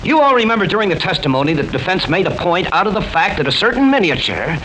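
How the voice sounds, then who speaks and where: An actor playing a stubborn, impassioned juror in an old radio mystery, arguing emphatically and theatrically. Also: male voice, high-pitched, sing-song, fast, crowd noise. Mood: urgent, commanding. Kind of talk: old-time radio mystery drama acting. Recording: noisy vintage radio broadcast.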